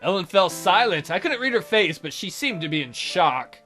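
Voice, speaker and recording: Animated woman narrating a game playthrough. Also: lowish voice, moderate pace. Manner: irreverent, jokey. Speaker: let's play host reading game text. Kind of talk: narrating a visual novel with joking asides. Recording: music behind the voice.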